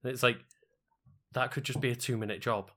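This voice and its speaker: A man's voice: mid-range voice, steady tone, a little fast, bright, forceful tone. A man with a Scouse accent co-hosting a stream, chatting in an easygoing, laid-back way. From Liverpool. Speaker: co-host. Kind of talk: casual stream chat.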